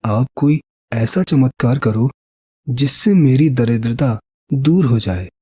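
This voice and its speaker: A man voicing a poor, earnest young village painter and devoted husband in an animated story, sincere and proud of his craft. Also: medium pitch, slow pace, breathy edge, no room sound, clean studio sound. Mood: praying, pleading.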